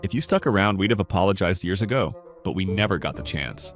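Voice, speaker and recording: A gruff, sheepish cartoon tough guy, acted by a man, now friendly. His voice is low and lively, with casual blunt delivery over faint music. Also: fast. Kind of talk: cartoon comic dub.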